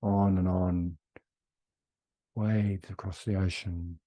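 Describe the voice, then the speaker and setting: A man leading a guided meditation in a soothing, unhurried way with long pauses between phrases. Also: low-pitched, flat delivery, warm tone, dry recording.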